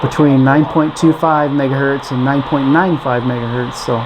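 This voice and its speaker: A man's voice: mid-range voice, fairly even intonation, moderate pace. A man casually demonstrating a gadget in an unscripted, easygoing, slightly hesitant way. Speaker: radio reviewer presenting a gadget. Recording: noisy home recording with radio static. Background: shortwave radio noise and static.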